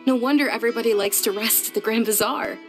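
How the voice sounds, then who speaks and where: A woman's voice: fairly high pitch, fast talker, soft background music, lightly acted. A woman voicing a sweet, polite young lady in a game, speaking with delight. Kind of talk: game cutscene dub.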